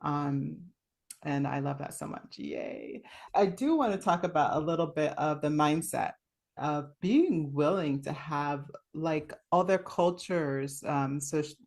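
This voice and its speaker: A woman's voice: sits low, measured pace, gravelly, darkish tone. Female interview host, effusive, casual, thinking aloud in rambling sentences. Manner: effusive, rambling, meandering phrasing.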